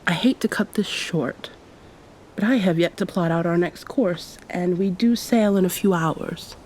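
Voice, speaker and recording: A woman playing an affectionate, teasing yet commanding succubus pirate captain in an intimate ASMR roleplay, softly spoken and often near a whisper, with formal old-fashioned phrasing. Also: lowish voice, unhurried, slight background noise, lightly acted, low-quality recording. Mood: tender, longing.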